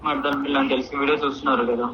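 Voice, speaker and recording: A man's voice: mid-range voice, flat delivery, racing. A man in casual phone talk, politely evasive and reluctant to stay on the call. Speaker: prank call recipient. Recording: dull phone line, noisy.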